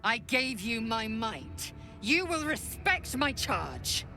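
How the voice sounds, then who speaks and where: A woman's voice: fairly high pitch, very animated, slow, heavily acted. A woman playing a proud, imperious alien warrior in a fantasy video game, haughty, clipped and commanding. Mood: stern, commanding. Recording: loud game music behind.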